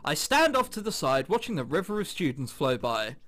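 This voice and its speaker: A man's voice: fairly high pitch, sing-song, fairly quick, bright, forceful tone. A man hosting a gaming video, reading a visual novel aloud with jokey, casual asides. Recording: music behind the voice. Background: game background music.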